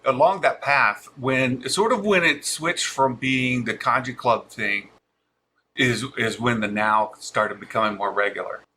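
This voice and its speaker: A man's voice: medium pitch, measured pace, bright, forceful tone, slight background noise. A man interviewing a guest, talking with eager fan enthusiasm. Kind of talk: casual interview chat.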